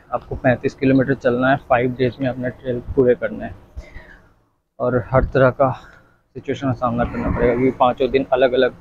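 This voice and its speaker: A man's voice: medium pitch, narrow, tinny audio, slight background noise. A man vlogging as he walks outdoors, chatting to viewers and giving them casual, instructive advice. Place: outdoors, walking in the sun.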